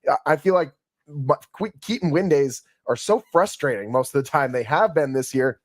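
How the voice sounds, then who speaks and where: Man, sports podcast host, hoarse voice, fairly high pitch, dry close sound. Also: moderate pace, no room sound. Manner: conversational, stumbles and restarts often. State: hoarse from yelling. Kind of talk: solo sports podcast recap. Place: home studio.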